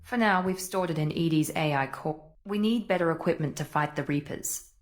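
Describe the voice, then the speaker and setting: A cool, assertive female officer in a space game, played by an actress. Her low voice is formal and precise, each point put with composure. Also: fairly quick, lightly acted. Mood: cool, insistent. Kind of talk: video game voice acting.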